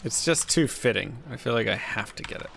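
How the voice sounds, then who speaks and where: A man with a deep voice, chatting casually and in a laid-back, rambling way as he streams a game from a desk microphone. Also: animated, a little fast, creaky, a bit bright, slight background noise. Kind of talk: casual chat while gaming.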